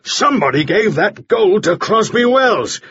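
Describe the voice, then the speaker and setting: Man acting menacing, husky bully, pitch swooping. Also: expressive, moderate pace, dry recording, muffled recording. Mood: furious. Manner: forceful, bullying, sarcastic. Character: menacing, volatile gold-rush man with a vicious temper.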